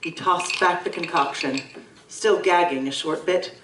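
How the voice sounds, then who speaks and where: A woman's voice: fairly low pitch, unhurried, a bit bright, slight background noise. Woman as a formal gothic narrator, echoing room. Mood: grave, recounting. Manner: formal, literary storytelling. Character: formal period narrator recounting a dark tale as a witness. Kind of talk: scripted narration, gothic audio drama. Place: live convention performance in a room. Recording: roomy live stage recording, distant mic.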